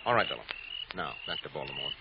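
A man's voice: very deep voice, expressive, fast talker. A man playing a tough, commanding gunman in an old-time radio drama, giving curt, threatening orders. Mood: brisk. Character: Perry Mason, tough man staging a hard-boiled threat at gunpoint. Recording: muffled, noisy old radio recording.

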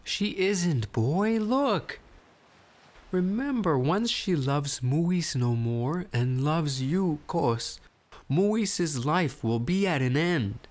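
A man voicing a cunning, brash, theatrical trickster raven with a creaky voice in an animated film. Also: on the high side, extremely slow. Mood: wry.

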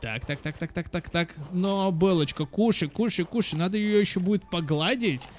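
Chatty higher-voiced man commentating a game. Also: moderate pace, slight background noise. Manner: chatty, thinks aloud, repeats words, exclaims. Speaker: gaming channel host. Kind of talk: casual let's play commentary for children. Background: game music.

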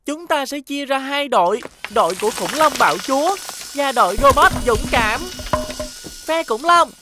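A woman's voice: high-pitched, moderate pace, noisy background. A woman voicing a playful, careless young boy who chatters eagerly in a dubbed cartoon. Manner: eager, excitable child's chatter. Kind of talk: cartoon dubbing.

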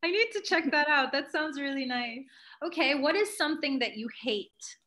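A woman in her thirties hosts a relaxed interview with a high, bright voice. She is encouraging and casual, speaking over a video call. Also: moderate pace. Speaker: host, interviewer. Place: indoor room on a video call.

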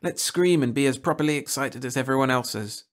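A mischievous alien shapeshifter, acted by a man in a sci-fi audiobook. It is mocking and teasing, in a raised pitch. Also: fairly quick, natural voice.

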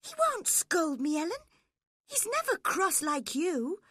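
A woman voicing a spoiled, imperious teenage girl in a storybook reading, with a high, petulant delivery. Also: sing-song, a little slow, fairly bright tone, no echo, studio quality, full character voice. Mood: tearful. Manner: haughty, petulant, high-pitched.

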